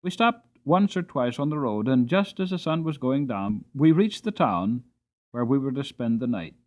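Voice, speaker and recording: A man narrating an audiobook in a dramatic storytelling style, with expressive, theatrical emphasis. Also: mid-range voice, moderate pace.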